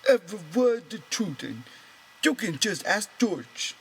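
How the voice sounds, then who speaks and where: A man voicing a simple-minded, trusting and stubborn grown man in an audiobook, with childlike, halting phrasing. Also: high voice, sing-song, creaky, slight background noise, voice pushed up, full character voice. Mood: earnest, insistent. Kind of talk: character voice in an audiobook reading.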